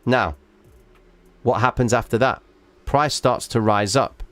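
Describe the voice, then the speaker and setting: A man hosts a live trading stream, talking viewers through a chart and stopping often to ask "all right?" His voice is starting to go, and he sits at an average pitch for a man. The sound is dry and close, with no room echo. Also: unhurried, a bit bright. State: losing his voice. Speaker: trading livestream host.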